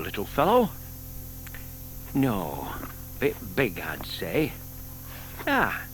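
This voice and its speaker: An actor playing a shy, lovestruck older British gentleman in an audio drama, polite, old-fashioned and eager. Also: fairly high pitch, a little hiss or hum, exaggerated voice, poor audio.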